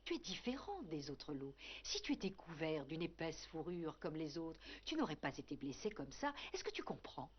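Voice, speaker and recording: A woman's voice: low-pitched, very animated, normal speed, few pauses, husky, heavily acted. A woman voicing a firm, protective mother wolf in a dubbed cartoon. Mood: gently scolding. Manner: firm, maternal. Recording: muffled cartoon soundtrack with soft music.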